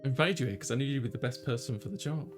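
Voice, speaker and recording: A man's voice: medium pitch, animated, very fast. A man hosting a let's play with casual, good-humoured, slightly flustered banter. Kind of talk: casual bantering game commentary. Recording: music playing behind the voice.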